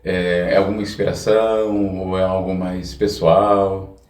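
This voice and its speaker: A man's voice: deep voice, flat delivery, measured pace, darkish tone. A Brazilian man interviewing casually, with hesitant, rambling questions. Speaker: podcast interviewer. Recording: echoey room, distant from mic.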